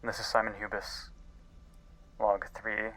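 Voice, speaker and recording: A man playing an earnest, guilt-ridden scientist in an audio drama, speaking intensely and haltingly as if recording a log. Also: on the low side, completely flat delivery, background noise, lightly acted. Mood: worried, grave. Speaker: voice actor. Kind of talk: dramatic audio-log monologue in a fiction podcast.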